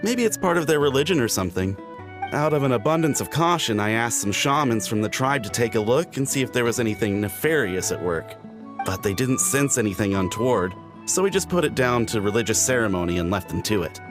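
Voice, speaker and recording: A man's voice: medium pitch, expressive, brisk, lightly acted. A man playing an easygoing young warrior on guard in a video game, with a breezy, confident, casual manner. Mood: relaxed. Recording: music behind the voice.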